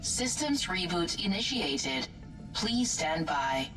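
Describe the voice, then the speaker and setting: A woman voicing a spaceship's artificial intelligence in a sci-fi game, formal, measured and detached. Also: on the low side, very animated, recorded from a distance, over music, rough recording. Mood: calm, detached. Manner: formal, measured, clipped announcements. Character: calm female ship's computer AI, formal and detached. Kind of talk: video game voice acting, sci-fi horror.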